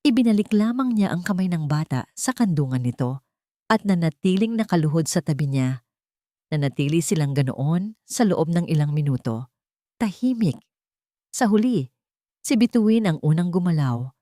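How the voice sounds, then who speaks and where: Mellow, even-toned woman storyteller. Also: lowish voice, moderate pace, dry recording, clean studio sound. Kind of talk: dramatic scripted story narration.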